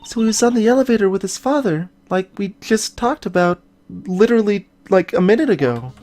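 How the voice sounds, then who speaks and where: A woman's voice: medium pitch, expressive, a little fast, warm tone, soft background music, lightly acted. A woman playing a curious, naive and playful young female sidekick in a voiced video game. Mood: eager. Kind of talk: fan voice acting of video game dialogue.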